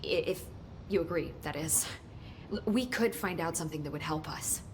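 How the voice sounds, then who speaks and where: An actress playing an earnest, eager researcher at a secretive agency in a video game, speaking a little haltingly. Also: female voice, low voice, a little hiss or hum. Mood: eager, impressed. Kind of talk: video game cutscene dialogue.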